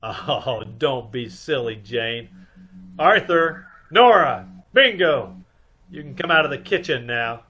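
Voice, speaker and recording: A man's voice: mid-range voice, animated, slow, bright, forceful tone. A man playing a wry, cool private detective with a dry, teasing manner, in an old-time radio mystery. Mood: commanding.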